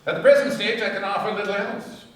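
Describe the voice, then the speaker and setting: A man playing a shrewd, composed detective inspector in a radio play, speaking with brisk, measured authority. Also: high voice, fairly even intonation, fairly bright tone. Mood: measured, knowing. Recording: very echoey, distant from microphone.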